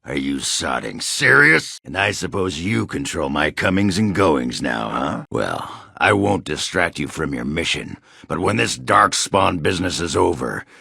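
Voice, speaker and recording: An actor playing a gruff, crude, boastful dwarf berserker in a fantasy video game, with a rough, husky voice and a blunt, swaggering manner. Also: male voice, deep voice, very animated, unhurried, hardly any pauses, sharp tone, no echo, clean studio sound, heavily acted. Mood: angry, bitter.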